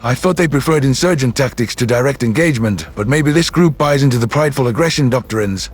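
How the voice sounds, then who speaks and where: A man's voice: mid-range voice, expressive, fast talker, slightly rough, pitched below the performer's own voice. A man voicing a thoughtful, analytical male starship crewman in a science fiction audiobook. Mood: speculative.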